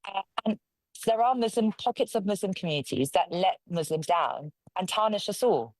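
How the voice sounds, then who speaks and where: A woman's voice: medium pitch, expressive, normal speed, darkish tone, no echo, rough recording. A woman from London, a local councillor, giving forthright, conversational answers as an interview guest. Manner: forthright, conversational, speaks her mind.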